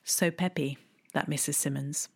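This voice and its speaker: A woman, telling a personal memoir in a wry, deliberate way, with hushed asides. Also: low voice, fairly even intonation, husky. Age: 30s. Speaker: storyteller. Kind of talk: memoir storytelling.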